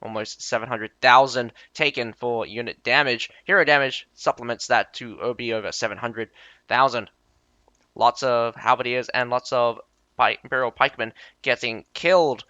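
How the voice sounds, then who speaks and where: Bright, clear-voiced young male caster. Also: medium pitch, normal speed, no room sound, lo-fi sound. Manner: chatty, self-deprecating, answers chat. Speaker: game caster and streamer. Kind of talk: live game commentary with chat banter.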